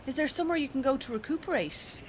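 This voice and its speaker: An actress playing a composed, polite radio reporter in a radio drama, speaking in a measured way. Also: female voice, high voice, slight background noise, natural voice. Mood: curious, sympathetic. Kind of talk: radio play acting, interview and news report.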